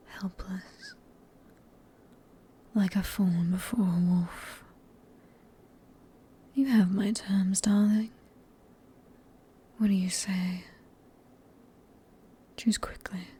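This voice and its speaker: A woman with a British accent voicing a sadistic, possessive, centuries-old vampire in a slow, menacing, breathy whisper for an ASMR roleplay. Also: mid-range voice, warm tone, full character voice. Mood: commanding, smug. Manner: slow, menacing, coaxing with pet names.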